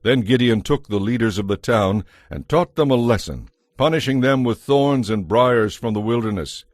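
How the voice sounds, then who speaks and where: A man narrating a scripted audio Bible as a measured, solemn storyteller. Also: medium pitch, no echo, studio quality.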